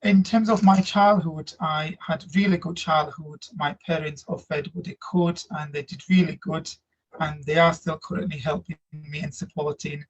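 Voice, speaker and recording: Husky Slovak-accented man, earnest. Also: a little high, steady tone. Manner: earnest, reflective, halting non-native grammar. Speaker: guest, migrant workers support officer. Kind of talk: interview answers. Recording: dull lo-fi audio.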